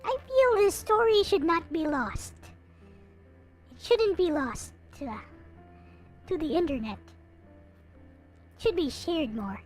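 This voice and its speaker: High, lilting woman streamer, unhurried. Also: lively intonation, faint music behind, rough recording. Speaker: live streamer, host. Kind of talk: casual livestream chat to viewers.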